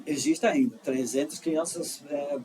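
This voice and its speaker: Middle-aged Dutch-accented male guest, halting and searching for words, sits a little far from the mic. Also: fairly high pitch. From Netherlands. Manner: halting, with frequent restarts and "é" fillers. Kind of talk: casual conversational storytelling. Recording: slightly distant mic with faint noise.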